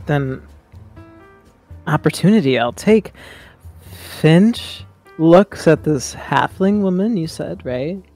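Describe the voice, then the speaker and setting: A man playing a tabletop roleplaying game, improvising third-person storytelling in halting, deliberate phrases. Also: a little high, lively intonation, a little mellow, soft background music.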